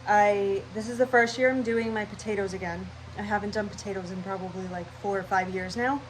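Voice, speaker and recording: A woman's voice: mid-range voice, steady tone, fairly quick, a little hiss or hum, low-quality recording. Nasal, stuffy-nosed young woman vlogging. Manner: scatterbrained, rambling, chatty. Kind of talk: casual channel intro talk.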